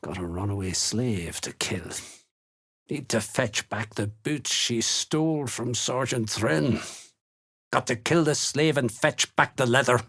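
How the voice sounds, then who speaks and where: Menacing dwarf soldier, gravelly, slow, blunt, rustic phrasing, fantasy game villain. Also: male voice, medium pitch, a bit bright. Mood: gruff, businesslike. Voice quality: gruff, raspy. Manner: blunt, threatening, folksy rustic turns of speech. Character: menacing, gruff Duergar slave hunter, rough soldier. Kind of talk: video game character dialogue.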